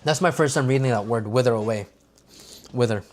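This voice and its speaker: A man's voice: mid-range voice, fairly quick. Man reading a book aloud on stream. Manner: unpolished reading with stumbles and restarts, casual asides to viewers. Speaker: livestreamer.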